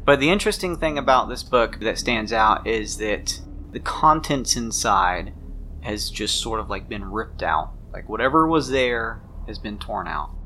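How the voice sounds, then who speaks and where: A man's voice: lowish voice, lively intonation, unhurried, sharp tone, slight background noise. A man narrating a tabletop role-playing game as game master, improvising scenes in the second person.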